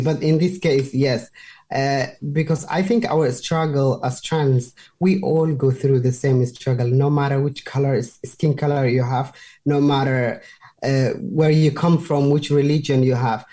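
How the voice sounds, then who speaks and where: A young woman from Somalia talks in English, a to her, with a mellow, relaxed tone. She is unhurried and stops to find her words. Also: very deep voice. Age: 20s. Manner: unhurried, searching for words in a second language. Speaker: guest. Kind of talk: casual interview talk.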